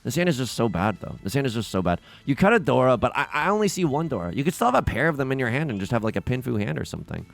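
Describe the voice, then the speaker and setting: A young man giving excitable, joking commentary as he plays a tile game, often restarting mid-sentence. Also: medium pitch, gravelly, faint music behind. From China, raised in the US. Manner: excitable, jokey, many quick restarts. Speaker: let's play host. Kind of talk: casual gameplay commentary.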